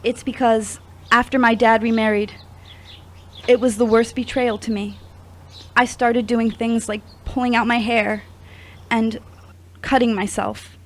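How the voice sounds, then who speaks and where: A woman's voice: on the high side, flat delivery, unhurried, long pauses, close to an everyday voice. A woman playing a wounded, confessional young woman in her twenties in an audio drama, speaking in an earnest, confiding way. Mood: vulnerable, pleading. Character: wounded, confessional twenty-four-year-old woman, recovering addict. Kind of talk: scripted audio drama acting.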